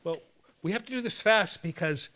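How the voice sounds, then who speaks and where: A man with a high, forceful voice works as a show host. He reads viewer mail and banters casually, with a playful, theatrical flair. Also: normal speed. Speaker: host of a horror movie show.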